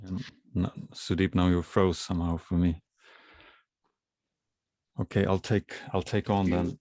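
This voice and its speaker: Deep, flat man. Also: no echo, clean studio sound. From Estonia. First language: Estonian. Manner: calm and unhurried, with many pauses. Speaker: guest poet. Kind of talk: casual talk before a reading.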